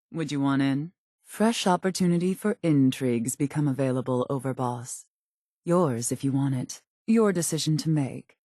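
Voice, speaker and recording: A woman's voice: sits low, dark tone, no room sound, clean studio sound. A woman playing a cool, ruthless gang boss in a video game, speaking in a controlled, clipped, politely menacing way. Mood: smooth, inviting. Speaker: video game character giving out jobs. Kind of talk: scripted game dialogue.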